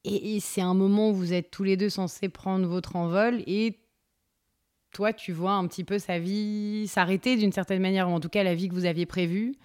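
A woman's voice: mid-range voice, steady tone, normal speed, a little mellow, clean tone. A woman interviewing a guest, asking empathetic questions with thoughtful, searching phrasing.

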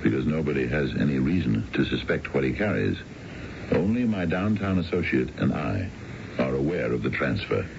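A man's voice: rumbling low, very animated, moderate pace, gravelly, exaggerated voice. An actor playing a dignified older businessman and secret schemer, formal and clipped, in a radio drama. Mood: conspiratorial. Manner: clipped, formal executive authority. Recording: muffled, noisy radio broadcast recording.

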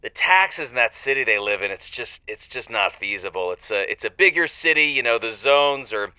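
A man's voice: mid-range voice, animated, normal speed, pushed and bright, clean tone, no room sound, poor audio. A man playing a shifty, rambling drifter calling a radio advice show, hesitant and stammering in a casual, improvised way. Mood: rambling, earnest. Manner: hesitant, stammering, sheepishly evasive. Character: shifty, rambling divorced male drifter caller. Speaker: call-in show caller. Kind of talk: semi-improvised audio drama, casual phone-in talk.

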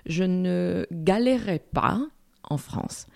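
A woman from Morocco in her forties, a journalist and news presenter, telling her career story in an interview in a lively way, with restarts and fillers. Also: lowish voice, slow, gravelly, dry recording, studio quality.

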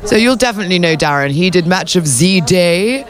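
A woman's voice: lowish voice, animated, brisk, a crowd in the background. A woman hosting a sports podcast, interviewing a guest on location at a tennis venue in a playful, teasing way. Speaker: interviewer and show host.

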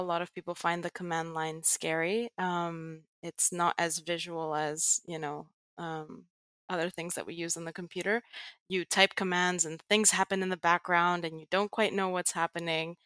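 A woman's voice: lowish voice, steady tone, normal speed, fairly bright tone, no room sound. A young adult woman with an American accent, explaining things patiently as a podcast guest, with frequent fillers. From Greece. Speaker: guest, tech writer and educator. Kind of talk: podcast interview.

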